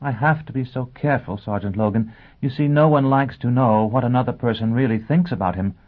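A man voicing a gentle, anxious, well-bred young woman who teaches, speaking in careful, polite diction. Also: medium pitch, brisk, pitched above the performer's own voice, natural voice. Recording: thin, phone-like band-limited sound.

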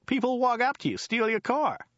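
A man's voice: extremely high pitch, normal speed, a bit bright, no room sound, muffled recording, voice pushed up. A man voicing a talkative, oblivious driver from California in an audiobook, nasal, whining and repetitive. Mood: blithe, chatty. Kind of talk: audiobook character voice.

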